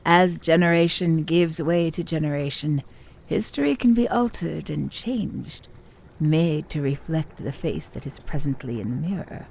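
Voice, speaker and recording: Slow, cryptic female lore-keeper played by an actress. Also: low-pitched, expressive, vocal fry, a little mellow, slight background noise, exaggerated voice. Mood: knowing, challenging. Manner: deliberate, formal storytelling. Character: measured, cryptic female lore-keeper challenging her pupils' histories. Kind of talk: fantasy audio drama acting.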